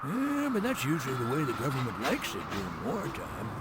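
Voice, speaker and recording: An actor playing a wry, folksy, teasing railroad narrator in a game cutscene, giving theatrical briefings with drawn-out emphasis. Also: male voice, medium pitch, wildly swooping pitch, heavy background noise. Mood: wry, ominous. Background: music.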